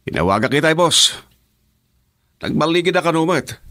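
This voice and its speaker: A complaining gang henchman, somewhat high in pitch. He argues his case in radio drama style, with a very dry studio sound. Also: expressive, normal speed, a bit bright, no room sound. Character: male henchman answering to his gang boss. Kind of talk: Ilocano radio drama acting.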